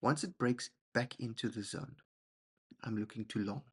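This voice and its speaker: A young man, a husky voice and a rambling, self-deprecating manner, walking through trades and often checking in with "okay?". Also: mid-range voice, normal speed. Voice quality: gravelly, husky. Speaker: trading channel host. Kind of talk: casual screen-share trading walkthrough.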